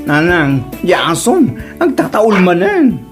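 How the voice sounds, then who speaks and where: Man acting as animated Ilocano son, nagging. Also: high voice, slow, over loud music, full character voice. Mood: complaining. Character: adult son pestering his mother about their barking dog. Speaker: actor in an Ilocano comedy drama skit. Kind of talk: amateur comedy drama dialogue.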